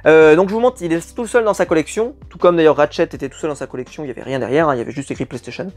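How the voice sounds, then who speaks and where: A man's voice: a little high, rapid-fire, darkish tone. A man chatting to camera as a collectibles vlog host, enthusiastic and rambling, with many hesitant fillers. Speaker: YouTube collector channel host. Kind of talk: casual unboxing chat to camera.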